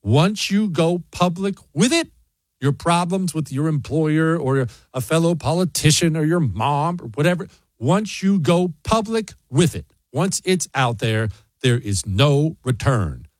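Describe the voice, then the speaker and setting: A man's voice: on the high side, animated, unhurried, dry recording. A man hosting a talk show, reading out listener emails and answering them in a blunt, combative way.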